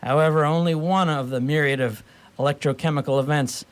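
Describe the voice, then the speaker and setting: A man's voice: fairly high pitch, fairly even intonation, brisk, smooth. A man narrating a scripted comic radio story in a wry, mock-formal way.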